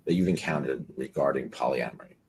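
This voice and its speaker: A man in his forties hosting an interview, talking in a rambling, halting way with many fillers. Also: extremely low pitch, gravelly, a little mellow, a little hiss or hum. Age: 40s. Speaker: host. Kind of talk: podcast interview.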